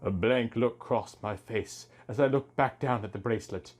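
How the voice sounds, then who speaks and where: A man's voice: medium pitch, moderate pace. A young man reading a story aloud in a theatrical, mock-serious way with exaggerated emphasis. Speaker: host reading amateur fan fiction.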